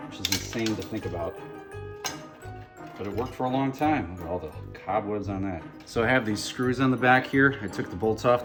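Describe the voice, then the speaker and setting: A man hosting a hands-on project, talking viewers through each step in a casual, folksy, wry way. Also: fairly low pitch. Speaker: radio morning show host presenting a DIY project. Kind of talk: casual hands-on tutorial narration. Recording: music bed behind the voice. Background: clear background music.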